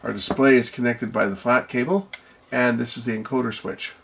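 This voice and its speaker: A man with a gravelly voice giving casual, hands-on electronics commentary, musing aloud and asking himself questions as a video host. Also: medium pitch, fairly even intonation, brisk, a bit bright, slight background noise.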